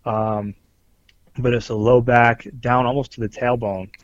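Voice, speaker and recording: A man co-hosting a podcast who talks earnestly and explains things in detail, with many pauses and ums. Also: medium pitch, level tone, no room sound, highs cut off. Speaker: podcast co-host, police officer. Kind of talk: casual chat.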